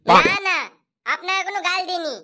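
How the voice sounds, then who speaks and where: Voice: extremely high pitch, steady tone, brisk, gravelly, bright, forceful tone, exaggerated voice. A performer voicing a cheeky, pushy schoolboy customer in a comedy cartoon, with whiny, insistent delivery. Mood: complaining. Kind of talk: comic cartoon dubbing.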